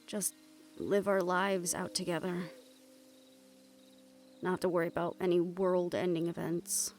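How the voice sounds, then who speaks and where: A woman's voice: lowish voice, little pitch movement, unhurried, lightly acted. A woman playing a young monster hunter in an intimate audio roleplay, casual and sweary, wry, teasing and tender with a partner. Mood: weary, tender. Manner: casual, wry, sweary, breaks into soft chuckles. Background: music under the voice.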